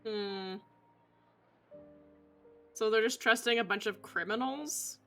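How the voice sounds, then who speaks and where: A woman streamer comments casually on a game as she plays live. Her voice is bright and slightly high. Music is playing behind her. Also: slow.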